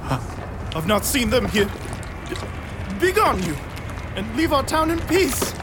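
An actor playing a frightened but defiant townsperson in an audio drama, urgent and superstitious. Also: male voice, extremely high pitch, very animated, unhurried, background noise, full character voice. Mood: wary.

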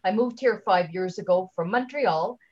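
A woman giving a conversational interview as a guest speaker, chatty and halting, often leaving sentences unfinished, on slightly dull audio. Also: medium pitch, a little mellow. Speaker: guest, LinkedIn trainer and speaker.

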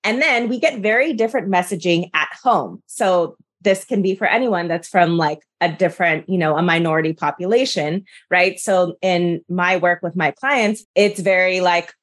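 Woman from the US, podcast guest coach, lowish voice, bubbly and chatty. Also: normal speed, few pauses. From United States. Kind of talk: casual podcast conversation.

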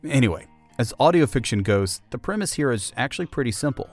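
A man's voice: mid-range voice, expressive, fairly quick, faint music behind. A man hosting a podcast, delivering scripted documentary-style narration.

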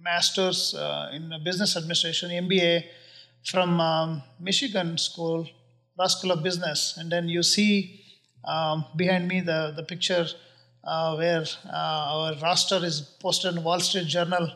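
High-voiced Indian man, earnest and measured. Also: level tone, bright, forceful tone. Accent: Indian English. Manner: earnest, measured, pauses with many uh and um fillers. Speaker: real estate investor guest. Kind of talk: casual interview answers.

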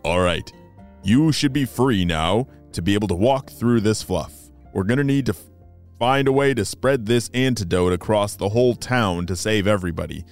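A storyteller voicing a calm, authoritative male spy team leader who gives deliberate, reassuring instructions in a children's adventure tale. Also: mid-range voice, faint music behind, pitched below the performer's own voice, close to an everyday voice. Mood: calm, instructing.

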